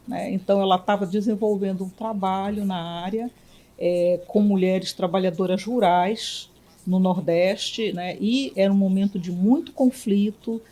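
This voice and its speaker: A woman from Belém, a physician and union director, answering interview questions in a reflective way with many pauses and restarts. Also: mid-range voice, warm tone. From Belém, Brazil.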